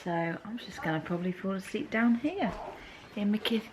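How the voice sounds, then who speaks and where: A woman's voice: mid-range voice, animated, normal speed, noisy background. A British woman talking casually to her camera, chatty and self-mocking. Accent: British English. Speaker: vlogger. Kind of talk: casual vlog chat to camera.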